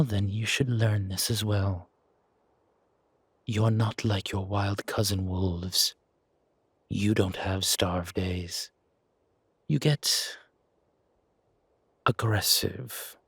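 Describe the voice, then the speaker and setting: A man's voice: fairly low pitch, slow pace, long pauses, breathy edge, no echo, heavily acted. A man voicing an imperious, scolding yet protective ancient deity in a fantasy audio roleplay, commanding and teasing. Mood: haughty, scolding.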